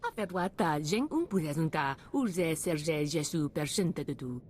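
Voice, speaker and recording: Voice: mid-range voice, animated, normal speed, a little mellow, lightly acted. A performer voicing an alien official in a video game.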